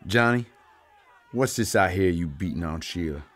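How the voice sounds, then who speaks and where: Man playing a low-voiced, tough Texas sheriff. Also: lively intonation, moderate pace, slight background noise. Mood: menacing. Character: tough, feared Texas sheriff, gruff and menacing. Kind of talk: Western audio play acting.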